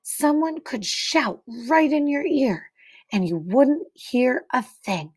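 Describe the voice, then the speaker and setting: A woman reading a children's picture book aloud like a teacher, with deliberate, expressive phrasing and dramatic pauses. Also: sits high, husky.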